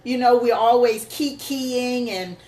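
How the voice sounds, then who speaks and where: A woman's voice: a little high, slow pace, darkish tone. A woman co-hosting a casual chat show with her husband, playful and laughing often. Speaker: co-host. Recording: echoey room with faint noise.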